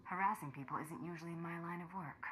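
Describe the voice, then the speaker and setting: An actress playing a tough, sardonic bounty hunter in a video game, terse and cocky. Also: female voice, lowish voice, fast talker, a loud crowd, natural voice, rough recording.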